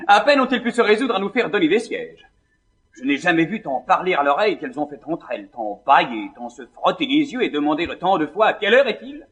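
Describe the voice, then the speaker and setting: An actor playing a vain, scornful young gentleman in a classical French stage comedy, declaiming with indignation. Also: on the high side.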